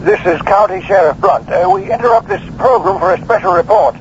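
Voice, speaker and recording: A male actor plays a county sheriff delivering a formal radio bulletin in a drama. The voice is high and official, muffled with faint noise. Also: fairly quick, lightly acted. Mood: urgent, official.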